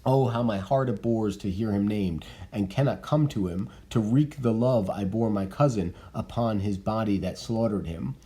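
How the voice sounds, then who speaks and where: A man reading the part of a distraught young noblewoman in a high, emphatic theatrical voice. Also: normal speed, few pauses, warm tone, lightly acted. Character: distraught young noblewoman hiding her feelings. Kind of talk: dramatic reading of verse.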